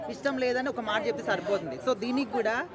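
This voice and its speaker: Crowd chatter surrounds a male street interviewer. His voice is high and he speaks quickly. He puts his questions in a casual, conversational style.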